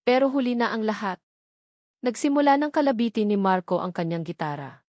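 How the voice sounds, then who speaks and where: A woman's voice: mid-range voice, brisk, darkish tone, no room sound, clean studio sound. A woman reading a scripted, dramatic story as a narrator.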